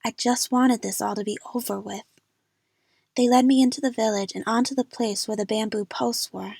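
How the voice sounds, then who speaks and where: A woman's voice: on the high side, fairly even intonation, normal speed, gravelly, a little mellow, husky, dry recording, studio quality. A woman narrating a first-person story dramatically for an amateur audio drama.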